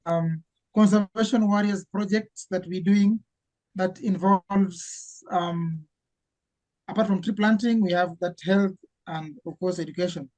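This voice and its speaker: Man, earnest medic guest, halting delivery. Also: high-pitched, fairly even intonation, dark tone, rough recording. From Kenya. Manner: earnest, halting, repeats words as he searches. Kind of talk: casual interview chat.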